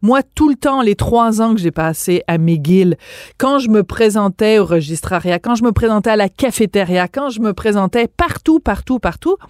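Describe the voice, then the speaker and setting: A woman in her fifties with a Quebec French accent tells a personal story in a lively, chatty way. She pokes fun at herself as she goes. Her voice sits at an ordinary pitch, and the recording is dry and close. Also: moderate pace, dry recording. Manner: lively, chatty, self-mocking. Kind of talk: casual storytelling in radio talk.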